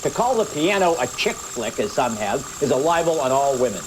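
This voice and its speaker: Very low-voiced woman giving opinion commentary. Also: sing-song, fairly quick. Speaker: panelist. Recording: noisy 1990s TV broadcast tape.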